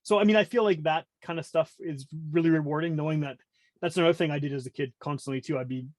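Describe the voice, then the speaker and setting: Podcast guest: Canadian male, about forty, brisk conversational delivery, mid pitch, dry sound. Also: darkish tone, no room sound. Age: around 40. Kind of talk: casual conversational interview.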